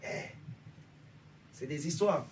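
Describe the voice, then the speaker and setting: A man from Benin gives an informal sermon to a small congregation in a conversational voice that sits a touch high. He keeps asking his listeners whether they follow him. He is recorded from a distance, with faint noise behind him. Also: slow pace. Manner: conversational, asks listeners for agreement. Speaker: preacher.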